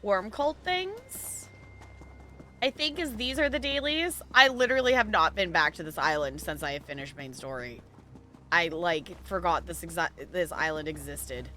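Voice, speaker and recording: A woman chatting casually and animatedly on a game livestream, halting mid-thought as she goes. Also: on the low side, expressive, bright, forceful tone. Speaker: video game live streamer. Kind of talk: casual livestream chat over gameplay.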